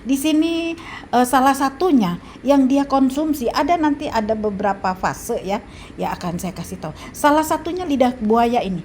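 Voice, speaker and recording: A woman in her seventies with an Indonesian accent, talking casually about home remedies, pausing often with fillers and restarts. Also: sits high, expressive, darkish tone, slight background noise. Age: 70s. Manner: halting, with frequent fillers and restarts. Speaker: health vlogger. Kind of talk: casual advice talk.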